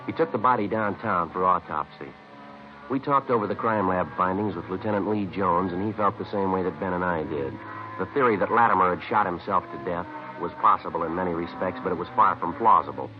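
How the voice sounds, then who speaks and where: An actor playing a terse, methodical police detective, dry and polite, in a vintage radio crime drama. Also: male voice, sits low, lightly acted. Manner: deadpan, clipped, polite. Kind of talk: 1940s-50s radio crime drama, clipped narration and dialogue. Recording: noisy, dull vintage radio recording.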